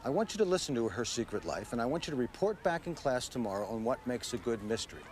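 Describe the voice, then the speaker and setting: A man plays a modest, eager schoolteacher in a 1930s period drama. His mid-range voice is lively and slangy, with faint noise around it. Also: mid-range voice, quick pace, natural voice. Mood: enthusiastic, encouraging. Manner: slangy, boyish, self-deprecating. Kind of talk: period television drama acting.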